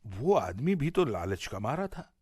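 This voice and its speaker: A man with an Indian accent tells a folk tale for radio. His pitch moves widely and expressively through each sentence, and the recording is close and dry. The delivery is scripted and dramatic. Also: measured pace, slightly rough, no room sound. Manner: dramatic, animated storytelling delivery. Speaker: storyteller and presenter on a radio show.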